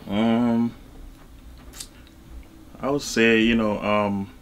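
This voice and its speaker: A man from Cameroon, talking casually as an interview guest in a halting way, with frequent asides. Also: mid-range voice, level tone, a little hiss or hum. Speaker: interview guest, music artist and label executive.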